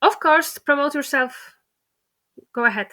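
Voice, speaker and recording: A woman hosting a casual interview, speaking in a halting, appreciative way. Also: sits high, bright, forceful tone. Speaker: interviewer, host.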